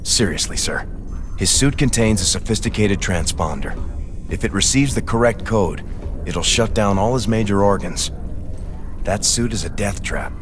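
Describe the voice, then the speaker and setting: Earnest second-in-command, game cutscene, male actor: clipped, respectful, fairly low register. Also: lively intonation, normal speed, noisy background, natural voice. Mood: urgent concern. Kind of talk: video game cutscene acting.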